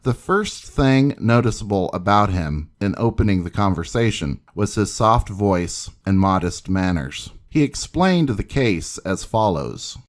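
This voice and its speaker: A man narrating scripted history in a measured, dramatic storytelling manner, as if reading an old newspaper account aloud. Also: lowish voice, very animated.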